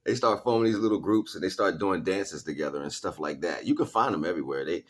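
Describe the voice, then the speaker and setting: A man with a very low, rough-edged, husky voice holds forth on a livestream. He talks in loose slang, part chat and part preaching, and circles back over the same phrases to drive them home. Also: expressive, fast. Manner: slangy, repeats phrases for emphasis. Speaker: livestream host and spiritual teacher. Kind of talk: casual livestream monologue, preaching to viewers.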